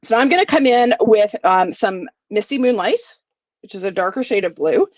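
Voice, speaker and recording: A woman's voice: medium pitch, lively intonation, normal speed, no echo, muffled recording. A woman in her forties, a little sniffly, giving a chatty live crafting tutorial from her home craft room and thinking aloud as she works. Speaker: crafting demonstrator.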